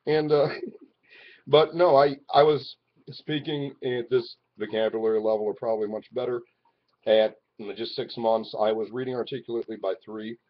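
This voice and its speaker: A man in his forties talking to a webcam in his living room, rambling and swinging from loud outbursts to low mutters. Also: fairly even intonation, moderate pace. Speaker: vlogger. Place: living room at home, indoors. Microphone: webcam at arm's length. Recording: dull lo-fi webcam audio.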